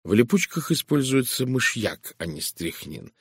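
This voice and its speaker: A man voicing a shrewd, composed Belgian detective in an audiobook, speaking with measured courtesy and deliberate, probing emphasis. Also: lowish voice, expressive, creaky, husky, no room sound, clean studio sound, exaggerated voice. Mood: calm, probing. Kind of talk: dramatised audiobook dialogue.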